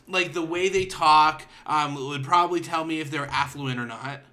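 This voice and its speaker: A man hosting a casual podcast chat, animated and joking, laughing often. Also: a little high, normal speed. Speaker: podcast host.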